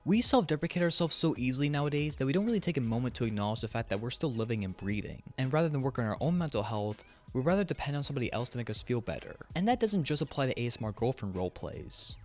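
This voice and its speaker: Male video host, earnest and blunt opinion read, lively pitch movement, casual swearing, music behind. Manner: earnest, frank, casually profane. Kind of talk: opinionated commentary, scripted and conversational.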